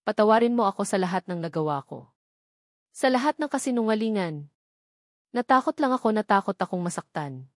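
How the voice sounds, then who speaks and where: A woman's voice: mid-range voice, expressive, fairly quick, pauses often, no echo, clean studio sound, close to an everyday voice. Female lead for romantic audio story, done by the narrator: young wife, emotional, anxious, in love. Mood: tearful, remorseful.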